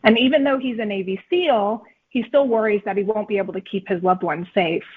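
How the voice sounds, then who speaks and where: A woman's voice: mid-range voice, fairly quick, husky. A woman author giving fluent, concise answers in a conversational interview. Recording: slightly dull, band-limited audio.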